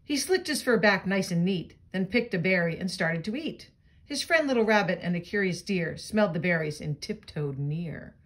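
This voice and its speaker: Low-pitched woman reading a picture book. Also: moderate pace. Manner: expressive, child-directed. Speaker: storybook reader. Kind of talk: children's picture-book read-aloud with chatty asides.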